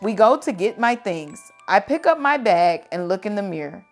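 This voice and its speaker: A woman reading children's picture books aloud in an expressive, dramatic and deliberate storytelling style. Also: mid-range voice, faint music behind.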